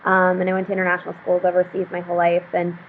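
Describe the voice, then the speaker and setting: A woman's voice: fairly low pitch, monotone, racing. A young woman from Pakistan with an American accent, a social enterprise founder, telling her story earnestly on a video call, with frequent fillers. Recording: tinny phone-like video call audio with faint noise.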